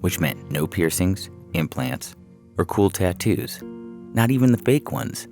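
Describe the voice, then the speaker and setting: A man's voice: low-pitched, animated, unhurried, vocal fry, darkish tone. A man reading a humorous science fiction story as a scripted narration, with music playing behind him.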